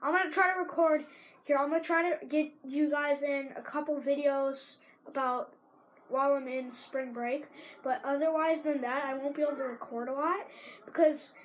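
High-voiced teen rambling over a game. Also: female voice, normal speed, slight background noise, rough recording. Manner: rambling, with sudden excited shouts at plays. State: sore throat, losing voice. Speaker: gamer. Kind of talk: casual gameplay commentary.

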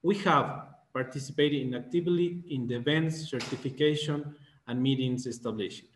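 A man's voice: medium pitch, slight background noise. Ecuadorian man, 30s, association president, stiff prepared speech, roomy call audio. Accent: Ecuadorian Spanish. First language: Spanish. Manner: formal, measured, slightly halting English. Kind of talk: reading a formal welcome speech. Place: small plain room, indoors. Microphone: video call. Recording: echoey room on video call.

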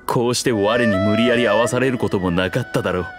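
An actor playing an ancient, proud dragon king in human form, speaking gravely and formally in a measured way for a game. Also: fairly low pitch, over loud music, lightly acted. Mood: grave, resigned. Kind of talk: Japanese game voice acting, archaic dramatic dialogue.

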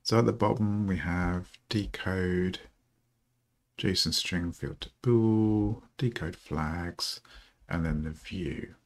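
A middle-aged man with a rough morning voice, thinking aloud slowly and haltingly with many ums while he codes at his desk. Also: low voice. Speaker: programmer streaming a coding session.

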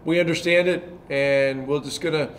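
Older male coach at a post-game presser, a touch high-pitched, halting with fillers, close mic. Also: fairly even intonation, a bit bright. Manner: measured, many fillers and restarts. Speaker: head coach at a press conference. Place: press room in front of a sponsor backdrop.

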